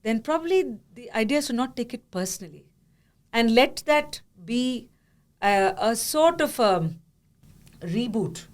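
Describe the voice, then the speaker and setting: A woman hosting a podcast, telling a story with animated energy and frequent laughter. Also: a little high, measured pace. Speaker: podcast host. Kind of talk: podcast conversation.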